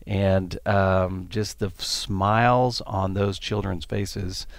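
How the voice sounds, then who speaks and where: A man's voice: low-pitched, slow pace, dry recording. A man with a South Texas accent, a pastor talking earnestly on a radio show, with many ums and uhs. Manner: earnest, steady, filler-heavy. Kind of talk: interview explanation.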